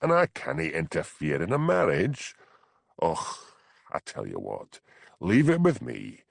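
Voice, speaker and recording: A man reading an audiobook, voicing a calm, plain-spoken village policeman with a Scottish Highland accent. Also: unhurried, vocal fry, dry recording, full character voice.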